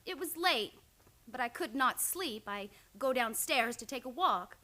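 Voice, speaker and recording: A woman's voice: sits high, lively intonation, measured pace, sharp tone, full character voice. A woman playing a fiery, unafraid frontier wife who speaks blunt broken English in an old-time radio western. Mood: blunt, upset. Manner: simple broken English, blunt short phrases.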